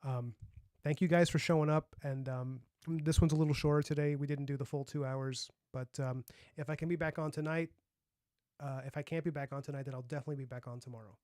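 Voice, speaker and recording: A man's voice: a little high, fairly even intonation, quick pace, darkish tone, dry recording, studio quality. Chatty man with a light young voice. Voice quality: light youthful voice. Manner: rambling, chatty, many fillers and restarts. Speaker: streamer and comic artist. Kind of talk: casual chat on a livestream.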